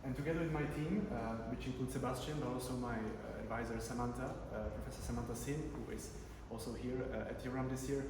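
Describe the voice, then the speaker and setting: A man's voice: mid-range voice, quick pace, few pauses. A man from the giving an academic conference talk, conversational, with many fillers and restarts. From Czech Republic. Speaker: conference presenter, PhD researcher. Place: large conference room. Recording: echoey hall from far off, room noise.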